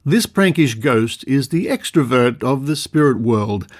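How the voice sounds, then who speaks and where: A man's voice: medium pitch, animated, a little slow. An Australian man hosting a podcast, reading aloud with asides and theatrical emphasis. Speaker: podcast host.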